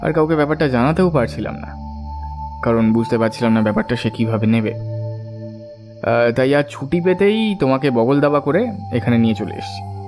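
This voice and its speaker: A man's voice: mid-range voice, lively intonation, normal speed, long pauses, lightly acted. Man acting earnest devout family man over faint music. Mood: troubled, hesitant. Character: earnest devout family man recounting his troubling dream. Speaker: narrator and character. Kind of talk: dramatised audio story narration. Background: faint background music.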